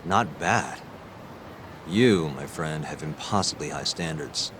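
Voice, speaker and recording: A persistent, plain-speaking man from a game cutscene. His voice sits low and he pushes directly for what he wants. City traffic is clearly audible behind him. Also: lively intonation, slow, heavily acted. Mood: insistent. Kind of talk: English video game cutscene acting.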